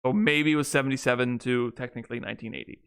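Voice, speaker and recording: A man's voice: medium pitch, steady tone, racing, smooth. A young man co-hosting a podcast, chatting casually and rambling with many restarts and fillers. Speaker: podcast co-host. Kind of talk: casual chat.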